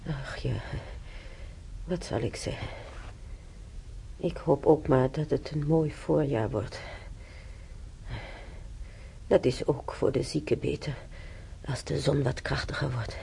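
Slow, creaky-voiced ailing old man. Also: medium pitch, steady tone, dark tone, a little hiss or hum. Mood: weary, resigned. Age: older. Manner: halting, musing, repeats himself. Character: ailing older man, bitter, reminiscing hospital patient. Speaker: hospital patient. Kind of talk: naturalistic radio drama acting.

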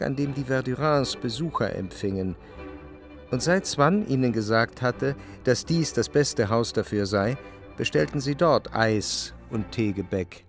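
An actor playing a jealous, introspective lover who narrates a literary radio drama in long, measured sentences. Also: male voice, mid-range voice. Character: brooding, jealous male narrator in love, reflective.

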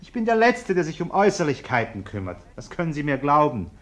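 A man's voice: on the high side, big pitch swings, a little fast. An actor playing a respectable, over-polite businessman who justifies himself and blusters, in a German radio play. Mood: appeasing, earnest. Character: respectable bourgeois businessman, ingratiating host, pompous and irascible.

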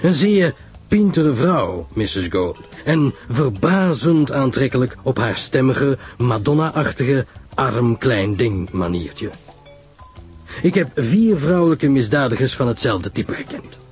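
A man plays a grave, self-assured older male detective from a radio mystery. His delivery is emphatic and measured, with widely swinging pitch. Music plays along with the voice. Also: big pitch swings, a little mellow. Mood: confident explaining.

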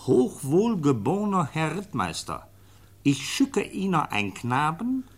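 An actor playing an officious male police officer in a classic German radio drama, with an animated way of talking. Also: on the high side, measured pace. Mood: reading aloud deliberately.

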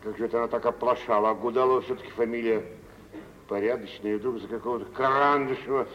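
A man acting a rich older merchant, dignified and shrewd, in an old radio play. He talks in a weighty, condescending way at a middling pitch. The sound is dull, with a long hall reverb. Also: steady tone, fast, a little hiss or hum, lightly acted. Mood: surprised, disdainful. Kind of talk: 1950s radio stage drama acting.

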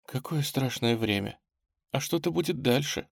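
Man voicing an anxious old lady, raised pitch. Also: expressive, moderate pace, dry recording, studio quality, lightly acted. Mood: frightened. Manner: nervous, agitated. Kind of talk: audiobook character acting.

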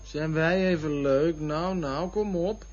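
A man's voice: a little high, slow. Crude joking man, restless, rambling, bitter asides, radio drama, lo-fi sound, faint music. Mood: agitated, provocative. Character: restless, crude, joking man brooding over love and women. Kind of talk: radio play acting. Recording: dull lo-fi radio recording with music.

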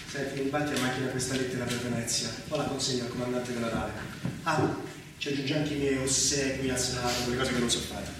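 Guy as a general in a stage play, casually bossing his subordinate, far from the mic, loud noise. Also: mid-range voice, fairly even intonation, fast, close to an everyday voice. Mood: casual, commanding. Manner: casually giving orders. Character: commanding general, brisk and informal with his subordinate. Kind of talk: naturalistic Italian stage drama. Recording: very echoey, distant, loud noise.

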